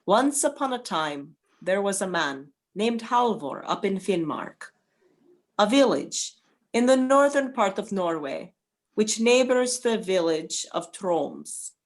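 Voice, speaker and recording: A woman's voice: medium pitch, expressive, takes it slowly. A woman narrating a folktale from a script for an amateur radio play.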